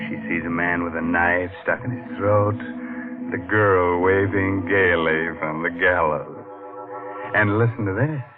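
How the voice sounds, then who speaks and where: A man's voice: on the low side, phone-quality sound. A man hosting a 1940s radio horror show in a tongue-in-cheek, mock-sinister drawl. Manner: mock-sinister, drawling, chuckling between macabre jokes.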